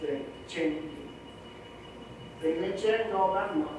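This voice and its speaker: A blunt, patient older driver. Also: male voice, sits high, slow pace, background noise. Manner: blunt, patient, dialect speech. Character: steady older man, a chauffeur, plain-spoken. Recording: echoey film audio from far off.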